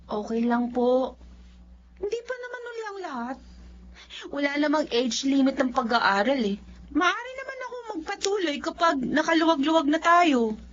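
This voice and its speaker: An actress playing a selfless, resilient twenty-year-old Filipina daughter in a TV drama, gently firm and stoic. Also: female voice, high voice, sing-song, normal speed. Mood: reassuring. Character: selfless, resilient young working daughter who gave up studies. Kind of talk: Filipino television drama acting. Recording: dull audio under music.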